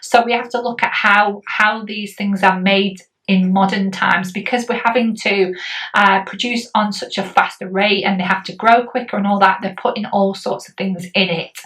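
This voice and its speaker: Woman, 40s, rambling vlogger, bright tone, slightly distant mic. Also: mid-range voice, fairly even intonation, moderate pace. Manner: chatty, rambling, many ums and likes. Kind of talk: casual health update vlog.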